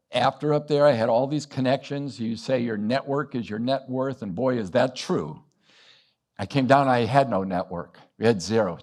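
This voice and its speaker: A man's voice: medium pitch, darkish tone. A middle-aged man from Western Massachusetts giving a storytelling talk at a business summit, acting out bits of dialogue and chuckling as he goes. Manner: anecdotal, acts out dialogue, chuckles often. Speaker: business owner speaking at a summit.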